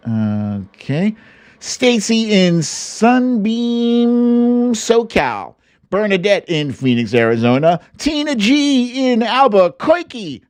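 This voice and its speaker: A man's voice: on the high side, no echo, studio quality. A man hosting a livestream in a casual, chatty style, with a playful sing-song way of talking. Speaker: livestream host. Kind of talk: casual livestream chat, reading out viewer greetings.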